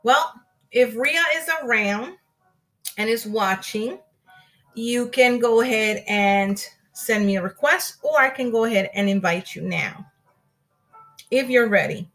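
Woman, live-stream host, casual chat, Spanish endearments, bright voice, faint music. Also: a little high, measured pace. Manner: chatty, warm greetings with Spanish endearments. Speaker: host of an online live show.

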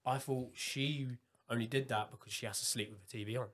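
A man from Kent, England, chatting casually as a podcast guest, animated and full of fillers. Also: medium pitch. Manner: animated, quick bursts of excitement, many fillers.

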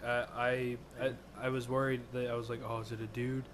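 A man's voice: mid-range voice, level tone, brisk. A young man speaking hesitantly, stammering and restarting his sentences. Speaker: podcast co-host. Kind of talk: casual chat. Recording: noisy podcast recording.

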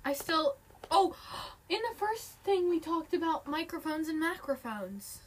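A woman's voice: extremely high pitch, expressive, unhurried, slight background noise, low-quality recording. A woman co-hosting a casual podcast, chatting in a rambling, playful way as she hops between silly topics. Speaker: podcast co-host. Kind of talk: casual chat.

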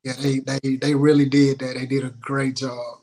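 A man telling personal stories casually as an interview guest, in an informal, halting, colloquial way. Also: medium pitch, flat delivery, a little mellow. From Houston, Texas, United States.

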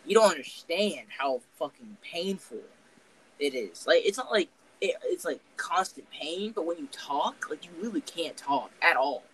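A young man with a slightly raised voice talks casually on a podcast. He swears constantly and rambles through animated stories. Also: a little high, moderate pace, husky, rough recording. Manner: profane, rambling, animated storytelling. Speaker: podcast co-host.

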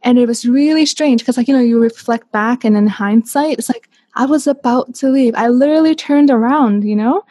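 A woman's voice: fairly high pitch, fairly quick, hardly any pauses, no room sound. Chatty woman guest in her thirties. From Canada. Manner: chatty, animated, peppered with "like". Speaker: interview guest. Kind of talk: casual storytelling in an interview.

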